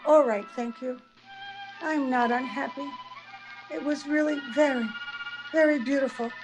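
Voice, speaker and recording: A woman playing a weary, serene dying woman in a radio play, tender and halting, with a soft creaky voice. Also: high voice, music in the background, rough recording. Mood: tired, serene. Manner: halting, repeating phrases tenderly. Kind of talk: old-time radio play acting.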